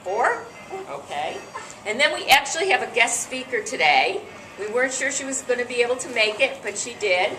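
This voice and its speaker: A middle-aged American woman with a loud voice, hosting an event and giving an informal, chatty talk to a gathering of guests. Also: a little high, big pitch swings, moderate pace, slight background noise. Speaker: event host and board director. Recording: echoey room from far off, faint music.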